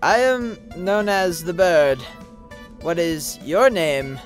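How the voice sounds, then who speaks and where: A performer voicing a slow, simple, literal-minded young female pegasus pony in a parody cartoon, speaking in a stiff, formal deadpan. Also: mid-range voice, soft background music. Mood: placid, deadpan.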